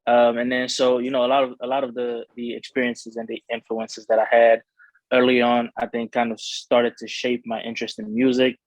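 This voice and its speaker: Flat-toned young man from Cameroon. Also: medium pitch, fairly quick, no room sound, low-quality recording. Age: young adult. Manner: rambling, many fillers and restarts. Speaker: rapper being interviewed. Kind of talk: casual interview talk.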